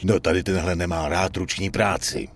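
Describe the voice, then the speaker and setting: Deep, gravelly dockside thug. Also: male voice, steady tone. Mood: deadpan. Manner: gruff, curt, swearing orders. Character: surly, thuggish male dock foreman and henchman. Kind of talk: dubbed crime game cutscene acting.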